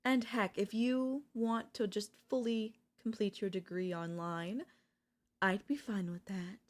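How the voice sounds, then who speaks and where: Slow-talking, obsessive woman professor, acted by a woman. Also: medium pitch, fairly even intonation, lightly acted. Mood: coaxing, earnest. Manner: slow, deliberate, coaxing, with dramatic pauses. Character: obsessive older female professor, sweet turning menacing. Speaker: voice actor. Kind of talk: ASMR audio roleplay acting.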